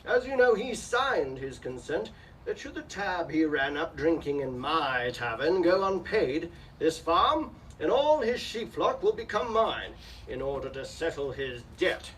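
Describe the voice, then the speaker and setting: A man's voice: on the high side, big pitch swings, measured pace, a bit bright. A man acting a sly, pompous villain in hammy radio melodrama, savoring alliteration and taunting all who hear him. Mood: smug gloating. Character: sly gloating melodrama villain, male landlord of a tavern. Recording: distant stage microphone, noisy room.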